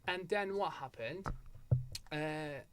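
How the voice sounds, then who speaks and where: Young man from Algeria, interview guest, a fairly high voice, storytelling full of restarts. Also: takes it slowly, fairly bright tone, a little hiss or hum. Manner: storytelling with many restarts and repeated "so what happened?". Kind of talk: casual interview chat.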